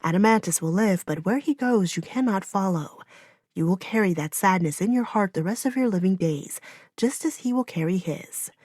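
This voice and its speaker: A woman playing a serene, compassionate goddess-like woman in an audiobook, making solemn, measured pronouncements. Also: medium pitch, animated, a little mellow, no echo, studio quality, close to an everyday voice. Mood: grave. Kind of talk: audiobook character dialogue.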